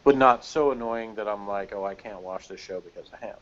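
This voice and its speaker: Man, low voice, casual review chat, hesitant rambling delivery, measured, dull lo-fi call sound. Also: fairly bright tone. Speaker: guest co-reviewer. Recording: muffled lo-fi call audio.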